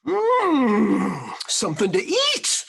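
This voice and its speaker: A man's voice: very high voice, wildly swooping pitch, extremely slow, vocal fry, a bit bright, husky, pitched above the performer's own voice, full character voice. A man voicing a hungry, grumpy old bear in a storybook, growling and grunting. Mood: hungry, curious.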